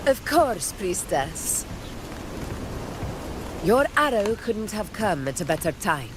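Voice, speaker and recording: A woman's voice: mid-range voice, takes it slowly. An actress playing a bold, commanding woman warrior in a video game, speaking in grand, confident declarations. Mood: measured. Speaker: game character, military commander. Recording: game audio with steady noise behind.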